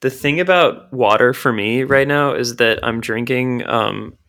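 A young man co-hosting a casual podcast chat, with a gravelly just-woken voice and a rambling, halting, dry-humored way of talking. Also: mid-range voice, fairly bright tone. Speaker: podcast co-host.

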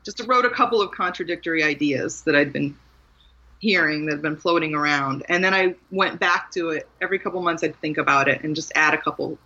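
Woman from Maryland, author guest, laughs easily, crisp bright tone, dull lo-fi line. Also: sits low, brisk. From Maryland, USA. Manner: chatty, laughs often, many asides. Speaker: guest, author and memoir teacher. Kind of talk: casual conversational interview.

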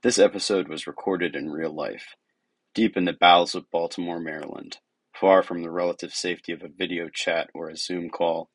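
Podcast host, male, slightly deep, no room sound, announcer turns mixed with casual questioning. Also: fairly even intonation, normal speed, breathy edge, no echo. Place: indoors, in person with guest.

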